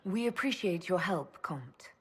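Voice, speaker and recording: An actress playing a direct, commanding woman leader in a video game, plain-spoken with a husky voice. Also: fairly low pitch, animated, unhurried, heavily acted. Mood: concerned. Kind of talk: video game dramatic acting.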